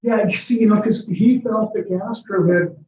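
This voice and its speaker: A man's voice: high voice, steady tone, normal speed, darkish tone, slight background noise. A man giving a lecture, answering audience questions in a chatty, digressive way with chuckles. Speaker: guest lecturer. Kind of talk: informal Q&A with audience. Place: lecture room. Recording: echoey, muffled room from a distance.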